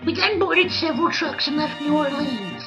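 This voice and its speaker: Squeaky, gravelly little wisecracking monkey. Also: female voice, normal speed, music in the background. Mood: grumbling narration. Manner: sarcastic, whiny, childlike. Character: small wiry wisecracking talking monkey, complaining and cheeky. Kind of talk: old-time radio comedy-horror drama acting.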